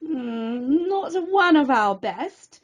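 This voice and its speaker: A woman voicing a bossy, haughty female professor in a children's storybook, with a brisk, disapproving manner. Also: high-pitched, very animated, lo-fi sound, full character voice. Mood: disdainful.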